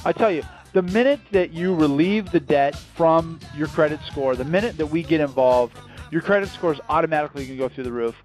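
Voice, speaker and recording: Salesy, higher-pitched man pitching over music. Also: fairly quick, darkish tone. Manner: salesy, emphatic, repeats phrases for effect. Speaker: debt relief company founder giving a sales pitch as guest. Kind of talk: promotional interview on a conference call.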